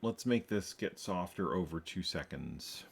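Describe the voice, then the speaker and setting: Slow, gravelly low-voiced man thinking aloud. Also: animated, a little hiss or hum. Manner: mumbling, self-correcting, wry asides. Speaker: programmer streaming his coding. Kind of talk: thinking aloud while coding. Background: faint keyboard typing.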